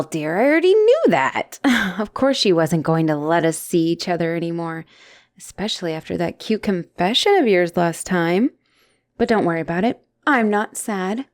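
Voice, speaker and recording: A woman playing an obsessive, lovestruck asylum patient in a dramatic roleplay audio, theatrical and doting with a menacing edge. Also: fairly low pitch. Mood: sweetly possessive. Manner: theatrical, doting, swinging between gushing and hushed menace.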